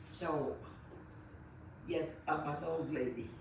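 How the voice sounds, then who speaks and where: A woman, recalling her life in an interview in a halting manner full of fillers and restarts. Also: sits low, a little hiss or hum. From Belgium. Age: 90s. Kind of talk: reminiscing storytelling. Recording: echoey room, voice set far from the mic.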